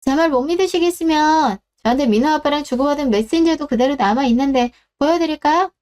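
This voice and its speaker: A woman's voice: high voice, fast talker, a little mellow, natural voice. A woman in her thirties voicing a scheming daughter-in-law who sounds mocking and condescending behind polite words. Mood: smug, mocking. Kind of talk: radio drama acting.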